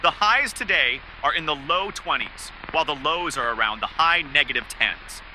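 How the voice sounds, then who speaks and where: A man playing a brisk TV weather forecaster, delivering a scripted forecast. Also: on the high side, animated, pushed and bright, slight background noise, rough recording. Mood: businesslike.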